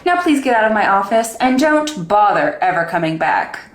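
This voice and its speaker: A woman's voice: mid-range voice, big pitch swings, normal speed, echoey room, close to an everyday voice. A woman playing a stern, smug, unforgiving female salon manager in a comedy skit, curt and dismissive. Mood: icy, smug.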